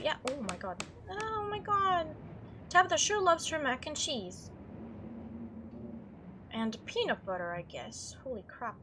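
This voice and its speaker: A woman's voice: on the high side, background noise. A woman hosting a gaming playthrough, chatting and reacting aloud as she reads the game's text. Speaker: let's play host. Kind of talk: gaming commentary mixed with reading visual novel text aloud.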